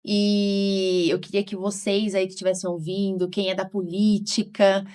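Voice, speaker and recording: A woman's voice: medium pitch, level tone, unhurried, a little mellow, clear, resonant tone. A woman, hosting a casual podcast and often adding informal tag questions. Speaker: podcast host, physician.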